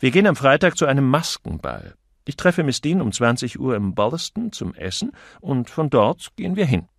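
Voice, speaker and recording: A man voicing a witty, teasing gentleman sleuth in an audiobook, with an airy, flowery, mock-lecturing manner. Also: medium pitch, wildly swooping pitch, normal speed, vocal fry, no echo, clean studio sound, voice pushed up, heavily acted. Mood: amused, lecturing.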